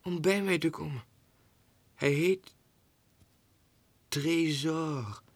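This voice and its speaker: A man's voice: a little high, very slow, husky, no room sound, voice pushed up, full character voice. A man voicing a pampered, gossipy female lapdog's letters in an affected, dramatic way for a 1960s radio drama. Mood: swooning.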